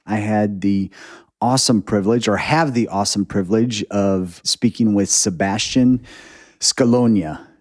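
A man's voice: fairly low pitch, measured pace. A man hosting a podcast interview, chatty and friendly, with frequent affirmations and restarts. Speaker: podcast host. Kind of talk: casual interview. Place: retreat venue in Germany.

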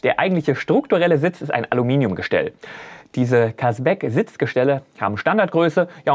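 A man's voice: mid-range voice, darkish tone. Chatty man presenting an explainer. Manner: chatty, direct address to viewers. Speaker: host of a spaceflight explainer channel. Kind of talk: explanatory presenting, casual asides. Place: small indoor studio set. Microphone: lapel mic.

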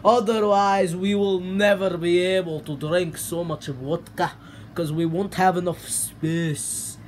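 A man's voice: sits high, fairly even intonation, takes it slowly, slight background noise. A man voicing a big pink plush troll who claims to be Russian, speaking in a mock Russian accent with pompous, broken grammar, recorded on a phone in a bedroom. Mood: boastful.

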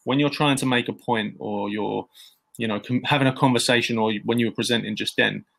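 A man in his thirties hosts an online event over a laptop video call from a bright room at home. His voice is gravelly with a mid-range pitch, and he speaks in a friendly, relaxed way, filling pauses with ums. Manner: friendly, relaxed, filler-heavy. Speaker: event host. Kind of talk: moderating a video call session.

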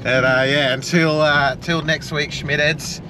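A man's voice: on the high side, unhurried, background noise. A man in his forties with an Australian accent, chatting casually to camera as a travel vlogger from a car's passenger seat. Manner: relaxed, rambling with fillers. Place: inside a car, passenger seat.

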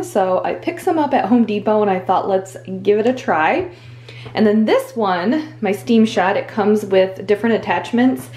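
A woman's voice: medium pitch, a little mellow. A young adult woman giving casual, upbeat voice-over commentary for a home cleaning video, with small filler words. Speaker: cleaning vlogger. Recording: echoey room with faint background music.

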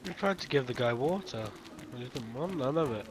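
A man's voice: medium pitch, animated, fairly quick, background noise. A man from the UK telling a playful story in casual game chat. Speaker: gamer.

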